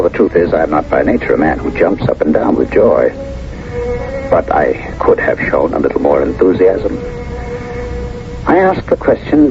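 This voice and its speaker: An actor playing a reserved American businessman in his fifties in a radio drama, measured and reflective, who also narrates his own story. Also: on the low side. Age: 50s. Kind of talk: 1970s radio drama acting and first-person narration. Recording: dull, noisy 1970s radio recording.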